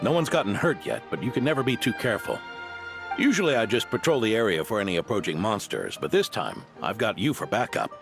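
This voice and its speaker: A man's voice: fairly low pitch, very animated, quick pace, creaky. An actor playing a genial, jaunty older knight captain in a video game, grandfatherly and undaunted. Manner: jaunty, reassuring. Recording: game music underneath.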